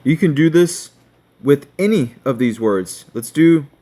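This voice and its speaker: A man giving a screen-share tutorial, talking slowly and checking in with his viewers. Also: on the high side, lively intonation, dark tone. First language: not Hebrew. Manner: slow, checking in with "okay?". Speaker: online teacher.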